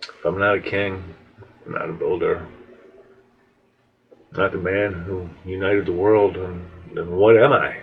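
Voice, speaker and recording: A fallen king of ancient legend, acted by a male storyteller, proud yet broken. His delivery is dramatic and pleading, in a low male voice on a muffled recording. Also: steady tone, measured pace, vocal fry, long pauses. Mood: broken, searching. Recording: dull lo-fi audio with background noise.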